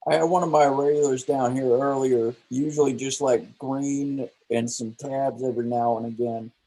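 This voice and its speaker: Backwoods kid dealer, played by a man: husky, relaxed, blunt and slangy, unimpressed, table-read comedy-drama. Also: medium pitch, moderate pace, close to an everyday voice, rough recording. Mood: matter-of-fact. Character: Nettie, laid-back slangy young redneck drug dealer. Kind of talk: live script table read.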